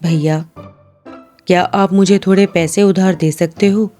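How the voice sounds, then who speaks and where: A woman's voice: mid-range voice, steady tone, unhurried, dark tone, voice pushed down, close to an everyday voice. A woman voicing a poor, humble, pleading male woodcutter in a cartoon story, over music.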